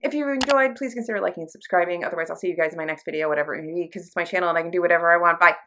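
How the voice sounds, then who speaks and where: A woman's voice: fairly low pitch, very fast, sharp tone, a little hiss or hum. A woman hosting a book review channel, chatting casually to camera in a gushing, emphatic, informal way with mild slang.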